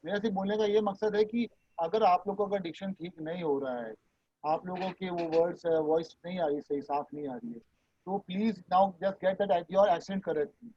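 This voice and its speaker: A man with a high voice leads an online voice training class. He talks casually and reassuringly, switching between Hindi and English. The sound is dry and dull, like a lo-fi video call. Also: normal speed, no echo. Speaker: voice and dubbing trainer.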